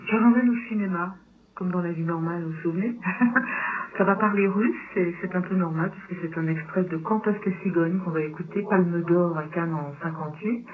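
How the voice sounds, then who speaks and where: A woman's voice: normal speed, a crowd in the background. A woman hosting a conversational radio interview, putting questions to a guest. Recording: tinny low-bitrate shortwave radio, clear noise.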